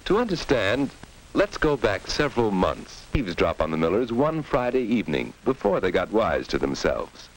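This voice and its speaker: A man's voice: lowish voice, very animated, moderate pace, slight background noise, low-quality recording. A man giving scripted narration in the style of a 1940s educational film.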